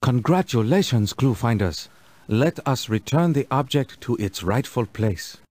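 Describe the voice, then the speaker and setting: A man's voice: mid-range voice, lively intonation, darkish tone, a little hiss or hum, lightly acted. A man playing a kindly, dignified village elder in a children's game, speaking formally and patiently. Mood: gentle, solemn. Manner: measured, formal, ceremonious. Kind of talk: children's educational game voice acting.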